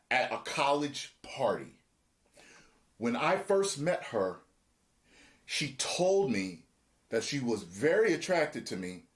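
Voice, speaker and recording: A man hosting a casual reaction chat, talking in an animated way and acting out other people's lines. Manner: animated, acts out quotes with shifting pitch. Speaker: show host.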